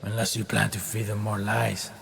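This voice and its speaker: An actor playing a sneering, cruel henchman in a video game, with a gravelly voice and sardonic, contemptuous delivery. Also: lowish voice, completely flat delivery, moderate pace, a bit bright, a little hiss or hum. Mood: scornful, mocking. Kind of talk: video game dramatic acting.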